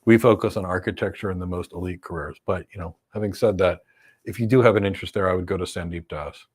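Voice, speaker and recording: Emphatic low-voiced American man. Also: steady tone, fast, a little mellow, breathy edge. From United States. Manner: emphatic, blunt one-word verdicts. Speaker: host, cloud career coach. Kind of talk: live Q&A answering viewer questions.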